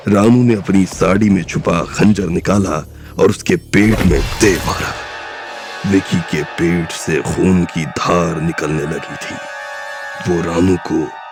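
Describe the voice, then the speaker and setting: A man with a very low, gravelly voice narrates a crime drama at a slow, measured pace. His delivery is steady and even throughout. Faint background music runs underneath. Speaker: audiobook narrator.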